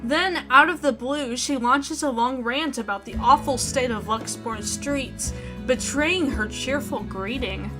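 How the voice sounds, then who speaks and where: A woman's voice: high-pitched, unhurried, music in the background, low-quality recording. A woman giving let's play commentary, reading visual novel narration aloud and reacting in a casual way. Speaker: video game streamer.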